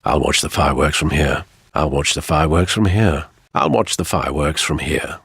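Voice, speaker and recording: A man voicing a loyal older butler in a video game, droll and formal, with a husky voice. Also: very deep voice, moderate pace, a bit bright, no echo, studio quality, full character voice. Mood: wry. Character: dry, loyal older male butler and confidant.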